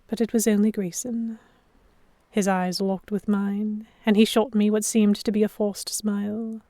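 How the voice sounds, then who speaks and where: A woman giving expressive first-person narration in the style of a dramatic audiobook. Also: medium pitch, unhurried, no echo.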